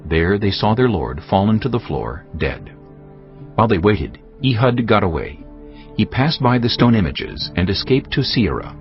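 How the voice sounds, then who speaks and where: A deep-voiced man narrates scripture in a solemn, measured storytelling style. His intonation rises and falls dramatically. Music plays beneath the slightly dull recording. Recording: dull audio with music behind.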